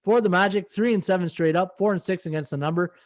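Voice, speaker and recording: A slightly hoarse middle-aged man hosting a live sports betting podcast, rambling through stats and viewer comments with many fillers. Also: high-pitched, quick pace. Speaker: podcast host and sports handicapper. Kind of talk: casual live-stream betting analysis.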